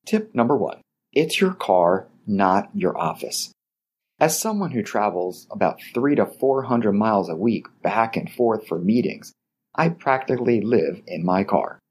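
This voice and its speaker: A middle-aged man with a low, slightly husky voice reads his etiquette podcast script. His delivery rises and falls with plenty of movement. He sounds polite and measured throughout. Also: expressive, a little mellow. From Baltimore, Maryland area. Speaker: podcast host.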